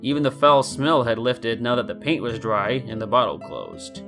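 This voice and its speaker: A man's voice: mid-range voice, sing-song, moderate pace. A man reading scripted story narration as the narrator. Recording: guitar music behind the voice. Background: soft guitar music.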